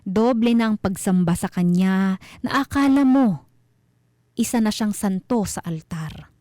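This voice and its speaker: A woman tells a scripted horror tale in a dark, mellow voice at a middling pitch. Her phrasing is deliberate and suspenseful, as a radio storyteller. The sound is dry and close, with no room around it. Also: dry recording.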